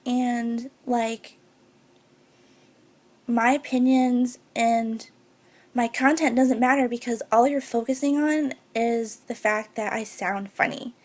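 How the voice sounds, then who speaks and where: A young woman with a high-pitched, girlish voice, talking earnestly and a little hesitantly to camera as a beauty vlogger. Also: slow, long pauses. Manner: earnest and heartfelt, a bit hesitant. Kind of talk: personal talk to camera.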